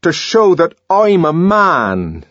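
A man's voice: mid-range voice, sing-song, slow pace, breathy edge, dry recording, dull audio, voice pushed up, full character voice. A man voicing an earnest, emotional young man who speaks in an intense, trembling way. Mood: impassioned.

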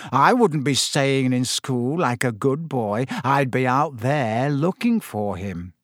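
A man voicing a spiteful schoolboy who taunts in a low, slow drawl. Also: lively intonation, no room sound, clean studio sound. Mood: taunting.

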